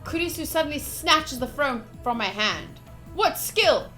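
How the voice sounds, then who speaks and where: A woman's voice: high-pitched, a little slow. A woman game streamer giving a playful, theatrical read-aloud of a visual novel with casual commentary. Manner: expressive, playful, theatrical reading. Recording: game music behind.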